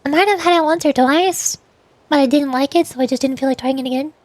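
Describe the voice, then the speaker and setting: A woman streamer chatting casually while gaming, in a halting way that often repeats words. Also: high voice, dry recording. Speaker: VTuber streamer.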